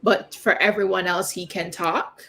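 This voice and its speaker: Hoarse lady on a call, gravelly voice, searching for words. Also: fairly low pitch, steady tone, normal speed, pushed and bright. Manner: searching, hesitant phrasing. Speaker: participant standing in for a husband.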